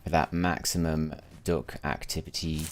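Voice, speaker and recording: A man's voice: rumbling low, little pitch movement, a little slow, faint music behind. A tired man chatting through his gameplay on a live stream, musing aloud and often restarting his sentences. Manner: chatty, muses aloud, often repeats words while restarting. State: tired after a sleepless night, voice lower than usual. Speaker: gaming streamer. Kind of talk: casual live gameplay commentary.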